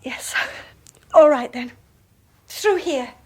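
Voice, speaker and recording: An actress playing a haunted young mother in a radio horror drama, speaking hesitantly in a hushed, husky voice. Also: high voice, slow pace. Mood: anxious, guarded. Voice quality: breathy, husky. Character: anxious young mother, haunted and unsettled by her husband.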